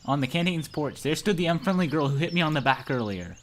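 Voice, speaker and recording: A man's voice: fairly high pitch, expressive, fast talker, slight background noise. A young man reading visual novel narration aloud and joking with friends as he goes. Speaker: narrator in a group let's play. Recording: music behind the voice.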